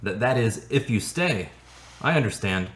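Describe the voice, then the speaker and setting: A man acts a supportive friend in a radio play. His voice is fairly low. He comes across as patient and mild, speaking in an everyday way. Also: expressive, normal speed, a bit bright. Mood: patient, tentative. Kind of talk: radio drama acting.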